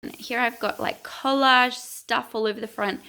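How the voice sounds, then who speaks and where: A chatty woman in her twenties talks through an art vlog in a high, bright voice. Her delivery is gravelly with fry and playfully self-mocking, and she sounds like she is losing her voice. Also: fairly even intonation, moderate pace, poor audio. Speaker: art vlogger. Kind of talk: casual vlog commentary.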